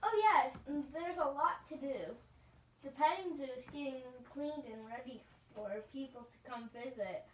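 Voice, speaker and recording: A young girl voicing a sweet, cheerful farm lamb puppet, reading scripted children's show lines haltingly. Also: high voice, natural voice. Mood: cheerful, earnest. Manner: halting, stumbling read-aloud delivery. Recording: roomy, distant home recording.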